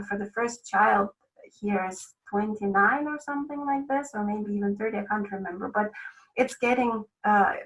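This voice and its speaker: A woman's voice: mid-range voice, a bit bright, far from the mic, low-quality recording. Woman, thoughtful and hedging. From Russia. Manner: thoughtful, hedging, frequent ums and restarts. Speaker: sleep consultant guest. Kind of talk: casual conversational interview.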